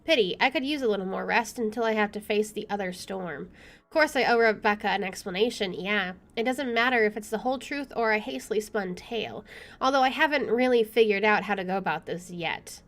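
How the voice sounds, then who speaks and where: A woman's voice: medium pitch, brisk. A woman hosting a gaming video, expressively reading story text aloud and adding casual asides.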